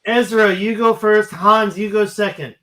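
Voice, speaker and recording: A man's voice: high-pitched, steady tone, a little slow, pushed and bright. A man hosting a casual video-call panel, abrupt and repeating his questions. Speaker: host.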